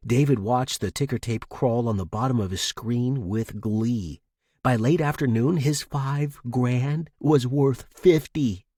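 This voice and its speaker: A man narrating a scripted pulp fiction story with expressive, dramatic delivery. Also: medium pitch, no echo, studio quality. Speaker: audiobook-style fiction narrator.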